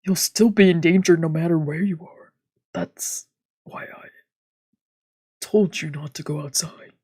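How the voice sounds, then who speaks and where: A woman voicing a stern, guarded male doctor from a visual novel, husky and breathy. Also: low voice, measured pace, mellow, no room sound, studio quality. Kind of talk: reading visual novel dialogue aloud.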